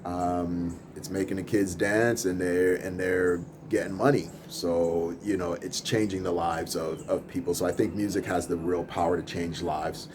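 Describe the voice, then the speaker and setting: Low-voiced man rambling casually on camera. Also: unhurried, few pauses, background noise. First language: English. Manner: rambling, relaxed, laughs between thoughts. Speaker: podcast host and music producer. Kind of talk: casual off-the-cuff vlog. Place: apartment in a big city, filming out over rooftops.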